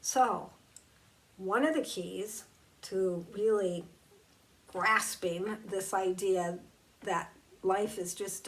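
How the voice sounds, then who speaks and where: A woman speaking as a spiritual teacher in a reflective, conversational talk, slowing down to draw out key words. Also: mid-range voice, far from the mic, low-quality recording. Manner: slow, emphatic and conversational, drawing out key words.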